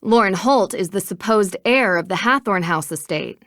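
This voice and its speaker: A woman's voice: medium pitch, expressive, a bit bright, no echo, studio quality. A young woman with an American accent playing an earnest, persistent amateur detective in a video game. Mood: earnest, pondering. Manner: polite, measured questioning.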